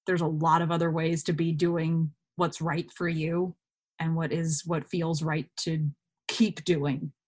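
A woman's voice: deep voice, little pitch movement, measured pace, darkish tone. A woman chatting informally as a guest in a casual podcast interview. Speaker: podcast guest, actor and cosplayer.

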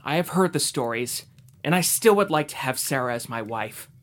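A man's voice: medium pitch, lively intonation, normal speed, close to an everyday voice. A man playing an earnest, faithful young suitor of biblical times in an audio drama. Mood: resolute. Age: young adult. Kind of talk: full-cast audio drama acting.

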